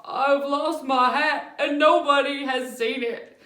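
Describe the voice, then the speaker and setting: A woman voicing a polite, sad male bear in a picture book read aloud, with a slow, plodding delivery. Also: high-pitched, steady tone, sharp tone, voice pushed up, heavily acted. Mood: forlorn. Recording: echoey room.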